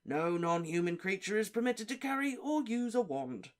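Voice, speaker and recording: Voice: mid-range voice, sing-song, normal speed, pitched above the performer's own voice, natural voice. A reader voicing a stern, officious male wizard official who barks his questions. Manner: sternly barking, interrogating.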